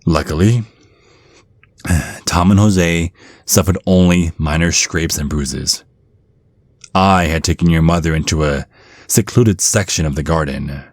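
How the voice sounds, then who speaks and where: A man's voice: rumbling low, dry recording. A man playing a grumpy, protective centuries-old vampire bodyguard in an intimate ASMR roleplay, speaking in an earnest, brooding way.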